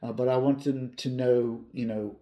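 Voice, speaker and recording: A man's voice: medium pitch, fairly even intonation, normal speed, dark tone. Earnest man in his fifties, chatting casually. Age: 50s. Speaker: hobby channel host. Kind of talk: casual talk to viewers.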